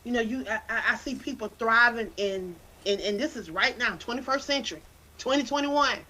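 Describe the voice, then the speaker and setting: A woman from South Carolina with a Southern accent talks animatedly as an interview guest. She often repeats words as she builds up a point, with a preacherly drive. Her voice sounds bright and forceful. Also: on the high side, brisk, slight background noise, rough recording. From Anderson, South Carolina. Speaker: guest, literacy campaign founder. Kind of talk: casual interview talk.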